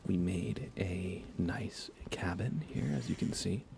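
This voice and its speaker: Low, gravelly man in hushed ASMR chat. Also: slow pace. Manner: soft-spoken, often slipping into whispers. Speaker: livestream host. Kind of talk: ASMR gaming stream, hushed casual chat. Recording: faint computer fan noise. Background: computer fans, game ambience.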